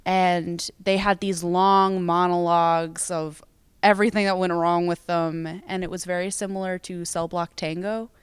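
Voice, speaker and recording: A woman's voice: on the low side, level tone, normal speed, clear, resonant tone, dry recording. A young American woman giving casual interview answers as a student theater performer. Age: young adult.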